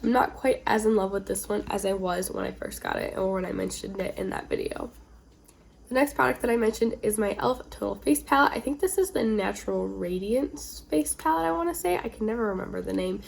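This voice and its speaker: A woman's voice: medium pitch, fairly quick, vocal fry, slight background noise. A woman getting over a cold, chatting informally with rambling asides as the host of a casual beauty vlog. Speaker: beauty video creator, host.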